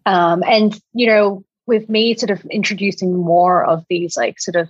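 Husky Australian woman chatting. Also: medium pitch, steady tone, unhurried. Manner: chatty, frequent "like" and "you know". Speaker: podcast guest, quilt designer. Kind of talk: casual interview chat. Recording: echoey room, slightly muffled audio.

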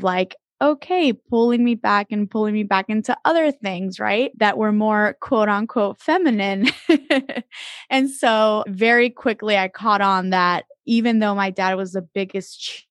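A woman in her thirties from the Dominican Republic, a guest in an interview who talks in a warm, chatty way. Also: medium pitch, no room sound, studio quality. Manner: warm and chatty, with many fillers. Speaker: podcast guest.

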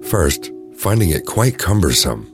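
A man's voice: low-pitched, very animated, measured pace, music in the background. A man giving scripted narration as the storyteller of an audio drama.

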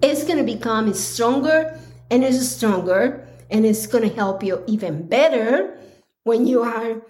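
Slow-talking, slightly hoarse woman host. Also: fairly high pitch, expressive, a little mellow. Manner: slow, earnest, with pauses and restarts. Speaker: podcast host. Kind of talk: casual solo podcast talk.

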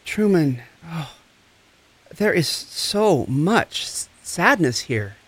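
A man's voice: on the high side, very animated, extremely slow, fairly bright tone, husky, heavily acted. A man acting the part of a compassionate recovery coach in an audio drama, tender, soothing and earnest. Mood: concerned, sympathetic. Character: compassionate, gentle, encouraging recovery coach and friend.

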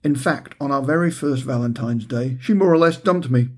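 Husky, wry middle-aged man reading. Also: medium pitch, normal speed. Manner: dry, wry storytelling with understated humour. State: recovering from a heavy cold. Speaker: author reading his own book. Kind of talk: audiobook narration.

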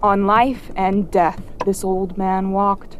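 A solemn seafaring storyteller, played by a woman in an audio drama. The delivery is very slow and literary at a middle pitch, over faint ambience. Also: level tone, natural voice. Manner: measured, literary. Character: solemn whaling-ship sailor telling the tale. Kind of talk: audio play narration. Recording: faint ambient background noise.

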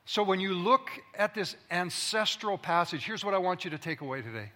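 A male pastor giving a Christmas sermon, preaching with emphasis and slowing down to stress each item in a list. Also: fairly high pitch, bright, forceful tone. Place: large church hall. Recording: long hall reverb.

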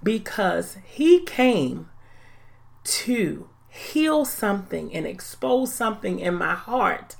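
A woman in her forties hosting a faith-based podcast, talking in an earnest, deliberate, reflective way. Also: on the low side, creaky. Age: 40s. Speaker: podcast host.

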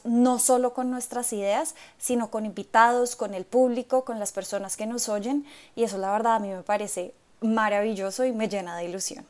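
A young adult woman co-hosting a podcast, introducing herself in an earnest, reflective and measured way. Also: fairly high pitch, a little mellow. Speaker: podcast co-host, fashion historian. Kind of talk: scripted-sounding personal introduction.

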